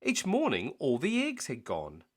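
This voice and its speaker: A man reading a children's bedtime story aloud in an expressive, measured, rhythmic way. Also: a little high.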